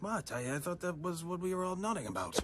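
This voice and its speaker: A man's voice: sits high, sing-song, fast, background noise. A man voicing a brainy, pessimistic penguin lieutenant in a cartoon, speaking in precise, formal, anxious analysis. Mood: earnest, gloomy. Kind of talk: animated film comedy acting.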